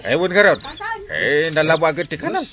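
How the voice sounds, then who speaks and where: A man playing a jovial, proud village captain in a folksy, excitable comic radio drama style. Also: fairly high pitch, moderate pace, over music. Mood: cheerful, earnest. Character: jovial male village captain, proud, easily sidetracked from duty.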